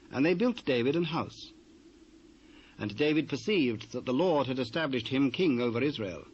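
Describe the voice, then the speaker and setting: A British man narrating a dramatised Bible reading in a measured, formal manner. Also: medium pitch. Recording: dull, noisy old cassette.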